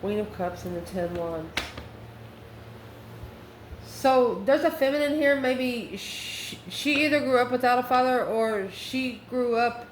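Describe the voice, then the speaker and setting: A woman's voice: fairly high pitch, unhurried. A woman giving a casual, improvised tarot reading as an online host, musing aloud as she goes through the cards. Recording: roomy echo with faint background noise.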